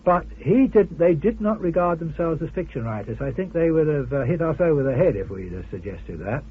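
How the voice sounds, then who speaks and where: A man with a fairly high, very raspy voice talks on a thin old radio recording. His pitch moves around a lot as he muses aloud in discussion, hesitant and searching for words. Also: big pitch swings, fairly quick, phone-quality sound. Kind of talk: conversational discussion.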